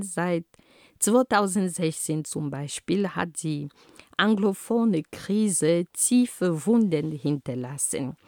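A woman from Cameroon with a Cameroonian accent, giving a slow, deliberate prepared talk with frequent fillers and self-corrections. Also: on the low side, a little mellow, no room sound, studio quality. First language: Homala. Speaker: speaker on peace and culture.

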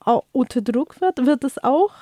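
A woman co-hosting a radio talk show with a fairly high but mellow, warm voice. She speaks thoughtfully and often pauses to search for her words. The sound is dry and close to the mic. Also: animated, no echo.

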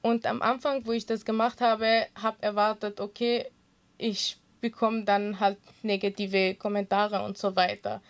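A woman's voice: mid-range voice, level tone, a little slow. A young woman, a native Kurdish speaker, earnestly answering interview questions about swimming and encouraging women, with frequent hesitations. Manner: earnest, hesitant with many fillers. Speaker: interview guest, swimming instructor.

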